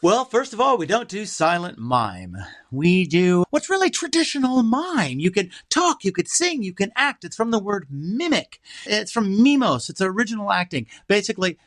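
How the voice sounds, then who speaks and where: Man, bright forceful tone, fairly high pitch, animated conversational explainer, dry sound. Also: moderate pace, dry recording. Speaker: interview guest, theater writer, performer and director.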